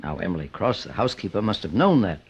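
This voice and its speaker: An American actor playing a calm, formal, authoritative gentleman detective in an old-time radio drama, speaking in a measured, deliberate way. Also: deep voice, husky, exaggerated voice. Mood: thoughtful. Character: calm, authoritative gentleman private investigator, the boss. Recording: muffled old radio broadcast.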